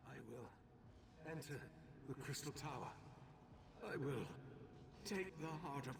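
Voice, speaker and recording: An actor playing an embattled mage in a fantasy video game. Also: male voice, mid-range voice, lively intonation, unhurried, crowd noise. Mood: entranced, obedient. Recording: distant, heavy noise behind voice.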